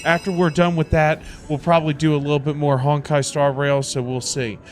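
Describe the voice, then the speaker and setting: A man's voice: fairly high pitch, little pitch movement, fairly quick. A man chatting casually as a livestream host, exclamatory and effusive with his viewers. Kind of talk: casual livestream chat and game commentary. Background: background music.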